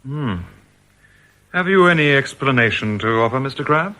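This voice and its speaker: An actor in a radio drama playing a polite, officious and cautious store manager. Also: male voice, medium pitch, animated, normal speed, sharp tone. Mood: formal, guarded.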